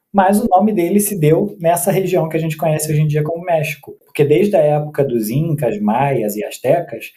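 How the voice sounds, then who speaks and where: A man's voice: fairly high pitch, warm tone. Mexican-born man explaining food history. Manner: explanatory, teacherly. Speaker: researcher and cook. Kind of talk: conversational explanation.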